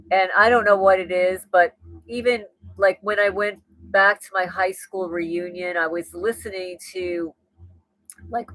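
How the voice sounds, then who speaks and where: A woman's voice: lowish voice, a bit bright, no echo, low-quality recording. Woman with a New Jersey accent, livestream host, chatty and rambling, dry close sound. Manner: chatty, thinks aloud, frequent restarts and laughs. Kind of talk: casual livestream chat reading viewer comments.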